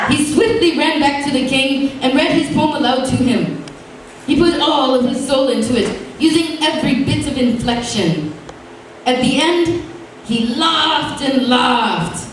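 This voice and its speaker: A woman with a high voice performs a comic storybook tale at a live show. Her delivery is slow, theatrical and playfully emphatic. She sounds far from the mic in a very echoey, noisy room. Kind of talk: theatrical fairy-tale reading with comic verse. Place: indoor stage show, room ambience behind.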